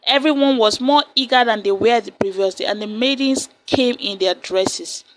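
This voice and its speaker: A woman narrating a folktale in a steady, plain storytelling manner. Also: on the high side, normal speed. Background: soft music.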